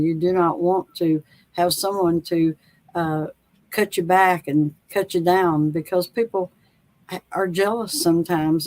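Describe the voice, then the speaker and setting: A woman author from North Carolina with a Southern accent, chatting in an interview in a slow, folksy, rambling way with many pauses and fillers. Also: fairly low pitch, darkish tone, low-quality recording. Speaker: author guest. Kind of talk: casual interview.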